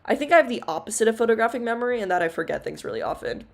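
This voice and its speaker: A young woman streaming a casual Q&A as a VTuber, rambling with lots of fillers and giggles, her voice low-ish and loud. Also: very animated, very fast, creaky. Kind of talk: casual Q&A livestream chat.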